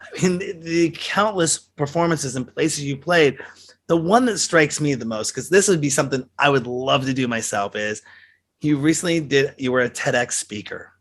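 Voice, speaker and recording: A man's voice: mid-range voice, normal speed, fairly bright tone. A man hosting an interview, talking casually with lots of fillers. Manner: rambling, many fillers. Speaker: interview host.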